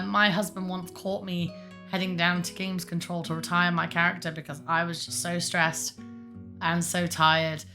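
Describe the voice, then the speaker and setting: Croaky woman giving casual advice. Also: lowish voice, bright, forceful tone. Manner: rambling, chatty, self-mocking asides. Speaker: larp advice host.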